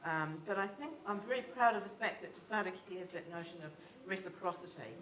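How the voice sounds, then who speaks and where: A woman responding to a lecture as discussant, talking loudly and enthusiastically in a lecture theatre. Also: fairly low pitch, fairly quick. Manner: warm, enthusiastic, loud voice. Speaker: seminar discussant. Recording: echoey lecture hall.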